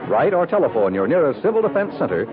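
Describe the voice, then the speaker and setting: A man's voice: a little high, sing-song, fast talker. A man reading scripted announcements in a formal, emphatic, polished 1950s radio style. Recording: tinny 1950s radio recording with music.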